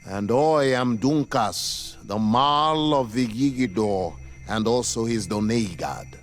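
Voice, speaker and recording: Video game spiritual leader, male actor: slow, solemn, formal and dignified, melodic delivery, music underneath. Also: mid-range voice, natural voice. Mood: gracious, measured. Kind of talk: scripted video game acting.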